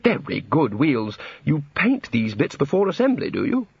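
Guy doing pompous old-boy head wizard, bluff n blunt, husky, storybook. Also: fairly high pitch, huge pitch swings, moderate pace, no echo, dull audio, heavily acted. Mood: hearty. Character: senior wizard leader, hearty, bluff, slow to understand.

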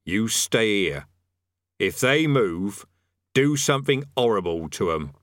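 A man voicing a smug, rough-spoken army sergeant who gloats with cheerful menace. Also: fairly low pitch, sing-song, takes it slowly, a bit bright, dry recording, clean studio sound, full character voice. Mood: triumphant. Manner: coarse colloquial speech, gloating, cheerful menace.

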